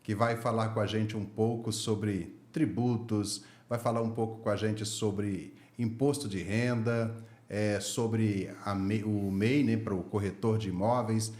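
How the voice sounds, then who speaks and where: A man's voice: lowish voice, fairly even intonation, a little slow, a little mellow. A Brazilian man hosting a podcast interview, chatty and friendly, laughing easily with his guest. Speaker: podcast host. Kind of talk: casual interview chat. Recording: echoey room.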